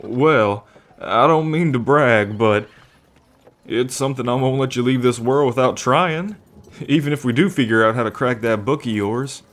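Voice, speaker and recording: A man's voice: mid-range voice, normal speed, fairly bright tone, natural voice. A man playing a friendly, earnest half-bull man in an ASMR roleplay, talking in a chatty, folksy way. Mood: warm, folksy. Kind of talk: ASMR roleplay, acted speech to the listener.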